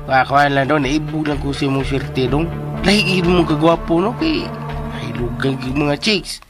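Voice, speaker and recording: An actor playing a cheeky, excitable driver sidekick in a comic radio drama, fussily repeating his words. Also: fairly high pitch, over music, natural voice. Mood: teasing, pleading. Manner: repeats words rapidly in fussy exclamations.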